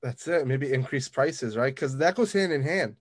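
Man with medium pitch, dry studio-like sound. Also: animated, normal speed, dry recording. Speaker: podcast co-host. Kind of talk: casual business chat.

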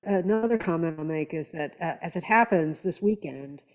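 A woman's voice: lowish voice, normal speed, darkish tone. A woman speaking as a podcast panelist in conversational discussion, with thoughtful, hesitant phrasing.

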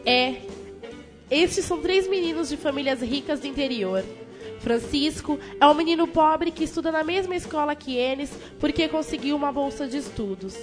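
A woman's voice: high voice, lively intonation, normal speed. A woman with a Brazilian accent as the storytelling narrator of a scripted radio drama. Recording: prominent music behind the voice.

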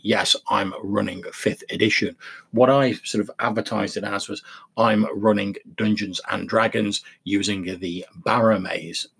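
A man hosting a podcast, talking reflectively in a measured, explanatory and courteous way. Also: fairly low pitch, creaky, fairly bright tone, slightly rough. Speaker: podcast host. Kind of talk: reflective solo podcast talk.

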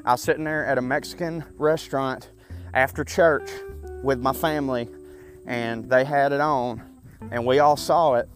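A man's voice: medium pitch, fairly even intonation, moderate pace, soft background music. Rambling Southern man in his thirties. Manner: chatty, profane, self-deprecating, frequent fillers. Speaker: podcast host. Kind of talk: casual rambling monologue.

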